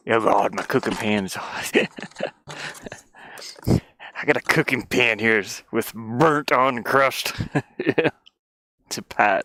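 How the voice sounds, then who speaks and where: Low, gravelly man rambling casually. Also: bright, forceful tone, no echo. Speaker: streamer and hobby game developer. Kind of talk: casual rambling chat while working. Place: inside a van.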